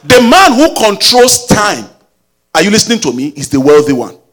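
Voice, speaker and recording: Husky, lively male preacher. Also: high-pitched, moderate pace. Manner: call-and-response exhortation, rising to a sung shout. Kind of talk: sermon.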